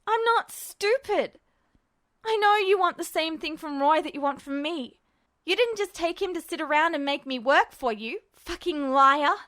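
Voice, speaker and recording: A woman's voice: high-pitched, animated, normal speed. A woman voicing a frightened but defiant young man in a story, stammering and bursting out shakily. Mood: shaky defiance. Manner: stammering, shaky, forceful outbursts.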